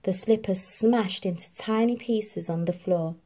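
A woman reading a children's storybook aloud in an expressive, animated narrating style. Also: mid-range voice, measured pace. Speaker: storybook reader.

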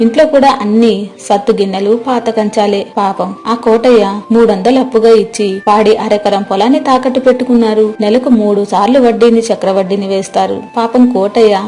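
A woman's voice: mid-range voice, mellow, natural voice. A woman voicing a kind-hearted, exasperated village wife in a story, complaining reproachfully. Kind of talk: scripted moral story dramatization.

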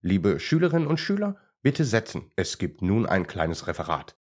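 A man's voice: fairly low pitch, sing-song, moderate pace. A man voicing a friendly male German shepherd who plays teacher in a children's story, polite and instructive. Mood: mock teacherly.

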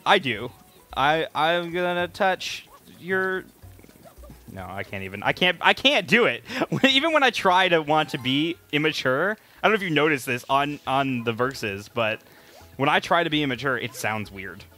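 A man's voice: on the high side, very animated, moderate pace, sharp tone. A man co-hosting a gaming video, bantering casually in a giggly, mildly exasperated way. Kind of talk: casual banter over gameplay. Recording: game music mixed behind the voice. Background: video game audio.

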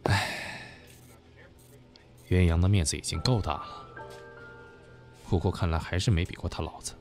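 A worldly, insinuating senior businessman acted by a man speaks in a deep voice. He needles knowingly, in audio drama style, over clearly audible music. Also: steady tone, moderate pace. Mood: knowing, confiding. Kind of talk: Chinese audio drama acting.

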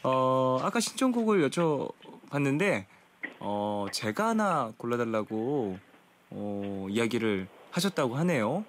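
Gentle, lively young man radio host. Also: mid-range voice, slow, slight background noise. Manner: polite, gentle, laughs often. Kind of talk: late-night radio chat with phone-in listeners. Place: radio studio.

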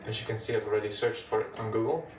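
A man with a Dutch accent walks through an old machine in a relaxed, chatty way, his voice sitting a little low. He sounds set back from the mic in a roomy, echoing space, with a faint hum of room noise behind him. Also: very fast. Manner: relaxed, chatty, explains step by step. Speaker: retro computing video host. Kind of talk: casual hardware demo narration. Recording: echoey room, mic at a distance.

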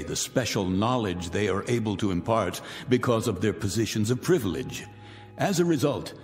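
An actor playing a wealthy, cynical man who calmly announces the end of the world from his bunker, with a smooth, menacing, deliberate delivery. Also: on the low side. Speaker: Mr. Raiden, bunker owner. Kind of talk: radio drama acting. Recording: long reverb with music beneath.

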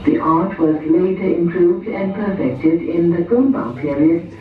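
A man with a high voice formally announces a stage show in measured, scripted phrases. The sound is heavily reverberant and picked up from far away, with a thin, phone-like tone. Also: faint music behind. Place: theatre, recorded from the audience over speakers. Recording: very echoey, tinny, distant hall PA with noise.

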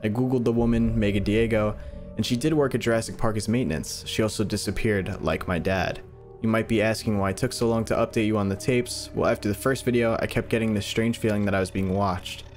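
A man's voice: fairly low pitch, steady tone, a little fast, darkish tone, soft background music. A man in his twenties hosting reaction videos with casual commentary, close on a desk microphone. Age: 20s. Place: small furnished room indoors.

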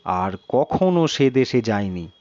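A man reading a suspense story in a measured, literary, dramatic narration. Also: medium pitch, very animated, a little mellow, no room sound, muffled recording.

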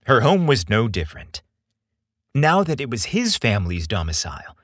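A male podcast narrator with a husky voice and a widely swooping, expressive pitch. He reads scripted material in a theatrical, deliberate way, recorded close and dry. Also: dry recording. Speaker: podcast host and story narrator.

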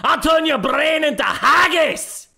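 A man's voice: very high voice, expressive, unhurried, gravelly, bright, forceful tone, pitched above the performer's own voice, full character voice. A man voicing a belligerent, hot-headed character with a Scottish accent. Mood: angry.

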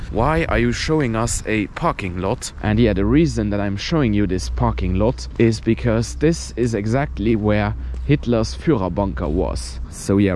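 Chatty vlogger on cold streets. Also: male voice, on the low side. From Luxembourg. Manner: relaxed, chatty, frequent "and yeah" fillers. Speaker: travel vlogger. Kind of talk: walking travel vlog narration. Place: outdoors on cold city streets. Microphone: handheld camera at arm's length. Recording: outdoor street noise, traffic.

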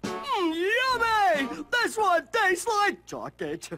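A man's voice: very high voice, wildly swooping pitch, takes it slowly, music in the background, exaggerated voice. A man voicing a dim-witted, cheerful oaf of a boy in a cartoon video game, dopey and silly.

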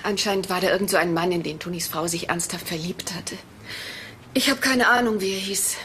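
An actress playing a frank, nervous young woman in a German crime radio drama, speaking haltingly and candidly. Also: fairly low pitch, pushed and bright, a little hiss or hum. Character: frank, uneasy young woman under police questioning.

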